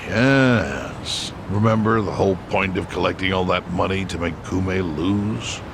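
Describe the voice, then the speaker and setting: An actor playing a shrewd, composed chairman in a game cutscene, explaining his schemes in a measured, persuasive way. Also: low voice, vocal fry, background noise. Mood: measured, sly. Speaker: chairman in a crime drama game. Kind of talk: dramatic game cutscene acting. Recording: loud music behind the voice.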